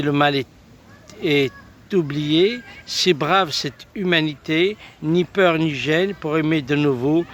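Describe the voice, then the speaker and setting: Slow-paced man reciting poetry. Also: on the high side, fairly bright tone, a little hiss or hum. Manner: solemn, lyrical delivery in short halting phrases. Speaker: poet reciting own poems.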